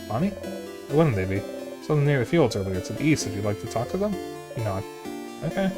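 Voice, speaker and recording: A chatty friend speaks brightly and kindly, lifting the voice up, as a man voices the part in a game. Music is plain behind. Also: medium pitch, sing-song, fast talker, natural voice. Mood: friendly.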